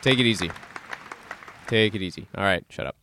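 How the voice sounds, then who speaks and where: A man's voice: on the low side, brisk, bright, forceful tone, a faint crowd, a little hiss or hum. A man hosting a podcast in a studio, chatting casually and cracking jokes while he reads out odd facts. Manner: chatty, joking asides while reading facts. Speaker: podcast host. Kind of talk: casual solo radio talk.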